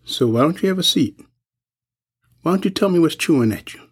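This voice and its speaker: Give the part of a frail old soldier: a husky voice with a gentle, folksy manner, fond and watchful. It is audio drama acting. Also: male voice, fairly high pitch, lively intonation, a little fast. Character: frail, kindly old male soldier, lonely and observant.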